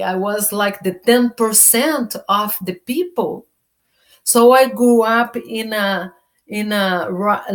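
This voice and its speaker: A woman from Brazil with a Brazilian Portuguese accent, a self awareness coach talking casually to camera. She speaks in an unhurried way, searching for words and restarting often. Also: medium pitch. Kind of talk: casual personal vlog.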